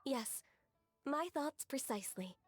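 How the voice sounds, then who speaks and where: A dutiful young civil secretary from a video game. Her voice is high and gentle. She speaks formally, choosing her words with measured, polite care. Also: animated, faint music behind. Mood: decisive.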